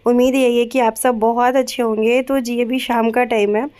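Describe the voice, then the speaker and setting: A young woman with a high voice talks through her evening in a relaxed, chatty voice-over. She keeps her voice soft and gentle because of a sore throat and fills her talk with little pauses and fillers. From Bihar, raised in Kolkata. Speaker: vlogger. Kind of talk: casual vlog voice-over.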